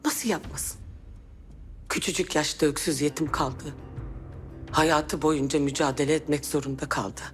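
A woman's voice: low-pitched, fairly even intonation, a little slow, pauses often, soft background music. An actress playing an earnest, reproachful woman in a TV drama, pleading emphatically for mercy. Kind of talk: TV drama acting.

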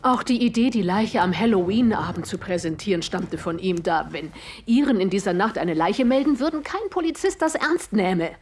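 A cold, bitter widow of a radio play. Her voice is husky and middle-pitched, and she speaks with deliberate defiance. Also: lively intonation. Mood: cold, confessing. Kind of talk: German audio drama acting.

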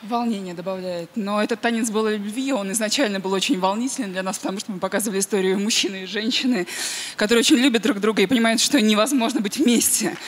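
A man's voice: high voice, fast talker. A man competing on a TV dance show, speaking earnestly on stage. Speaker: dancing contestant. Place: TV studio stage.

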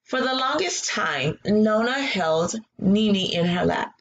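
A woman's voice: medium pitch, takes it slowly, lo-fi sound, a little hiss or hum. A woman teaching a read-aloud lesson from her living room on a laptop webcam, encouraging and praising her students as she goes. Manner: animated and encouraging, praising answers. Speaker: teacher reading aloud to a class. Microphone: laptop webcam at close range.

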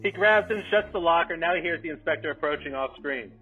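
A man's voice: fairly high pitch, quick pace, breathy edge. A man reading out stage directions as narrator at a remote script table read. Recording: dull call audio, music behind.

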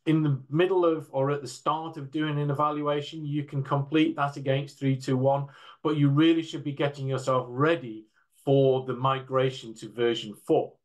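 A man's voice: mid-range voice, level tone, moderate pace. Older man presenting in an online panel. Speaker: panel speaker from a standards council. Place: indoors, on a video call.

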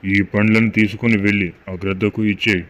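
A man's voice: fairly low pitch, little pitch movement, a little fast, fairly bright tone, natural voice. A man voicing a fearsome, hungry but helpful cave demon in a children's cartoon story. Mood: commanding. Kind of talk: scripted cartoon story dialogue. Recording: dull lo-fi audio, faint noise.

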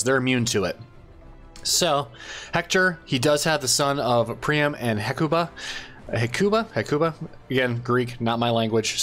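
A man's voice: medium pitch, a bit bright. Guy explaining a strategy game casually, chatty, trips over names, average voice. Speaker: gaming channel host.

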